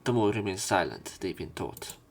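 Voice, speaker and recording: A man reading visual novel narration aloud as a let's play commentator, with halting casual asides where he corrects himself. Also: deep voice, lively intonation, creaky.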